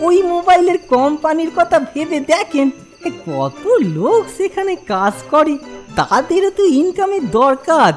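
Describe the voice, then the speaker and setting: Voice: high-pitched, a little mellow. An actor voicing a cheeky, servile village thief in a comic cartoon, wheedling and rustic. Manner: obsequious, rustic wheedling, keeps saying sir. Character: cheeky village thief, servile, earnestly arguing simpleton man.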